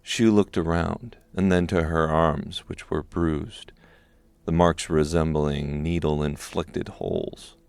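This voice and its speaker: A man reading scripted narration for a dark fantasy audiobook. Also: a bass voice, steady tone, slow pace, gravelly, clear, resonant tone, no echo.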